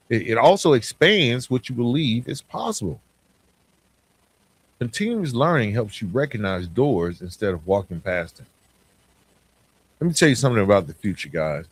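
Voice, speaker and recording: A man hosting a podcast, speaking to listeners in an emphatic, conversational motivational monologue. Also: fairly low pitch. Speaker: podcast host.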